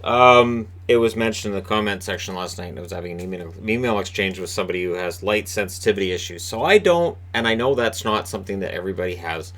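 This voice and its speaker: A man hosting a casual solo hockey recap from a room in his home, with a booming voice, talking in a rambling, chatty way with lots of fillers and restarts. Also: fast talker, few pauses, fairly bright tone. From Canada. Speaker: hockey commentator and video host.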